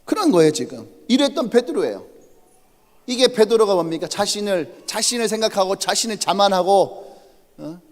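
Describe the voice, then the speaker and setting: A male pastor with a Chungcheong accent preaching a lively, humorous sermon and putting questions to the congregation. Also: high-pitched, normal speed. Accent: Chungcheong Korean. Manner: animated, humorous storytelling, asks the congregation questions. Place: church sanctuary. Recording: reverberant church sanctuary.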